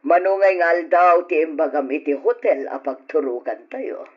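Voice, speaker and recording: A woman's voice: deep voice, normal speed. A woman playing a cruel, greedy middle-aged aunt, a scornful and domineering villainess in an old radio drama. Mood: pleased. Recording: dull lo-fi old radio recording.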